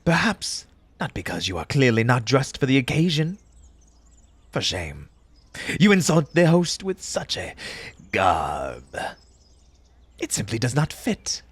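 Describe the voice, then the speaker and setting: A man playing an eccentric, fussy tea-party host in an audio roleplay, pompous and formal in old-fashioned diction, with a breathy, husky voice and an undertone of grief. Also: mid-range voice, sing-song, a little slow, pauses often, no room sound. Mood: indignant, scolding. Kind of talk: theatrical character audio roleplay.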